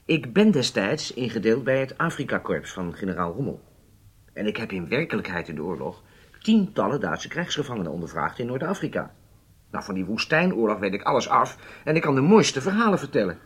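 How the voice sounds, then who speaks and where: A man's voice: medium pitch, big pitch swings, a little fast, slightly rough. An actor playing an eager, agreeable undercover agent in a radio drama, speaking deferentially to his superiors. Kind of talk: Dutch radio drama acting.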